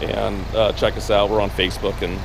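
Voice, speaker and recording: Slightly raspy middle-aged man, casual car seller on a handheld camera, outdoor noise around him. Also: fairly low pitch, flat delivery, brisk. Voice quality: rambling, filler-heavy delivery with many restarts. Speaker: car dealer showing a car for sale. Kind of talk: casual walkaround video. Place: outdoors on a gravel driveway. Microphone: camera held while walking. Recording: outdoor recording with clear background noise.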